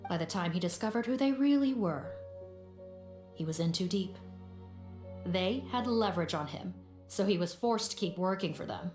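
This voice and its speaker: A woman playing a composed, perceptive law officer in a video game, speaking formally and deliberately. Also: fairly low pitch, expressive, darkish tone, echoey room, soft background music, close to an everyday voice. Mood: reasoned, sober.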